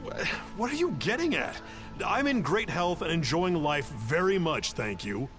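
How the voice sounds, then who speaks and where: A man's voice: on the high side, very animated, unhurried, fairly bright tone. A man voicing a suspicious and brusque townsman in a video game. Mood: wary. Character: wary, irritated adult townsman. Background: game music.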